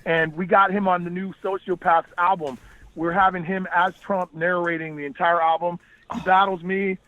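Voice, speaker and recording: A man's voice: sits high, level tone, normal speed, dry recording, lo-fi sound. A man appearing as a guest rapper and podcaster, moving between freestyle rap and casual promotional talk.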